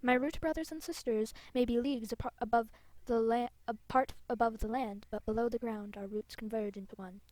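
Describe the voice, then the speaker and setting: A woman's voice: fairly high pitch, steady tone, a little fast, a little mellow, dry recording, natural voice. A woman voicing a proud, impatient ancient female tree spirit in a game, speaking in a grand, formal manner. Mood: solemn, imperious.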